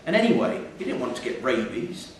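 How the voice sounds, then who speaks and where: Male narrator, wry and measured, reading live fiction, heavily echoing room, mic at a distance. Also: mid-range voice, sing-song. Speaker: short story reader. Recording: echoey theatre hall, distant mic, faint noise.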